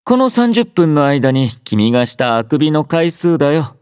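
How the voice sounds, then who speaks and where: An actor playing a gentle, quietly caring young male android soldier in a Japanese game, soft-spoken, drowsy and composed, with a mildly teasing manner. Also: fairly high pitch, sing-song, normal speed, smooth, no room sound, studio quality. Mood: calm, caring. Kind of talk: Japanese game character voice acting.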